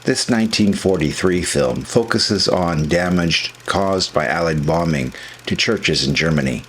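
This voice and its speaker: A man's voice: low voice, unhurried, fairly bright tone, a little hiss or hum, rough recording. A man reading scripted historical narration as a documentary narrator.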